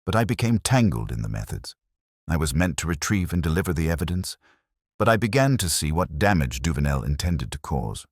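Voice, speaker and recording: A man reads the part of a composed, remorseful woman in an audiobook. The delivery is earnest and subdued, low in pitch for the role, with expressive lifts. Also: fairly quick, no room sound, studio quality, full character voice. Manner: quiet, earnest.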